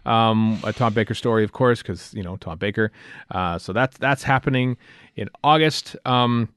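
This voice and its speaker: Guy podcast host, kinda low voice, lots of ums n pauses, real dry close sound. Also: fairly bright tone, clean tone, dry recording. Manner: hesitant, halting delivery with many ums and pauses. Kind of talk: casual chat, reading news to co-hosts.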